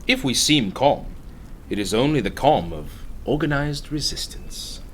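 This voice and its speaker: An actor playing an earnest, cultured plainclothes detective in a radio play, speaking formally and emphatically. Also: male voice, mid-range voice, animated, unhurried, a bit bright, slight background noise. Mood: composed. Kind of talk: dramatic radio dialogue.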